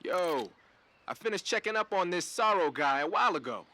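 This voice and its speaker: A man's voice: high voice, expressive, fairly quick, sharp tone. A man playing a talkative male radio support tech in a game cutscene, with casual, slangy banter. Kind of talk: video game cutscene acting.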